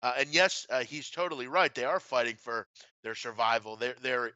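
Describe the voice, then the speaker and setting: Man, clear crisp voice, medium pitch, blunt betting show host, casual, halting, slightly lo-fi recording. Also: bright, forceful tone, no room sound. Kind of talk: casual sports betting discussion. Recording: slightly dull, dry lo-fi audio.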